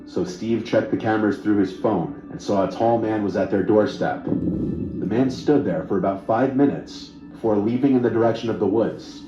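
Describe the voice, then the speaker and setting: Dark-voiced man narrating creepy stories. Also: lowish voice, moderate pace, roomy sound, dull audio. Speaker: horror story narrator. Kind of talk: scripted narration of a creepy true story. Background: music.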